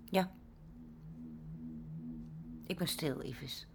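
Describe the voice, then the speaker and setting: An actress playing a grave, sorrowful middle-aged immigrant mother in an audio drama, with deliberate, hushed phrasing. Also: deep voice, vocal fry, noisy background, heavily acted. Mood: grave, pleading. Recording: music bed behind voice.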